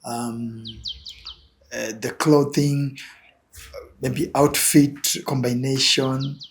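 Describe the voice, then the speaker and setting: A young man with a Kenyan accent sits as an interview guest and talks at an ordinary middle pitch. He hesitates a lot, restarting phrases and filling gaps with "uh" and "um". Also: steady tone, drawn-out words, a little hiss or hum. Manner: hesitant, many fillers and repeated words. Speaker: guest, image consultant. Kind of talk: interview answers.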